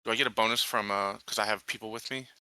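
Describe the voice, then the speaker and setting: Sharp, bright-voiced man with low pitch. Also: fairly even intonation, fast, smooth, no echo, low-quality recording. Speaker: tabletop roleplaying player. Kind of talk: casual game discussion.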